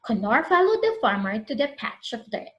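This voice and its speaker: A woman's voice: on the high side, sing-song, moderate pace, fairly bright tone, dull audio. A woman reading a picture book to young children as a preschool storyteller, expressively, prompting them with questions.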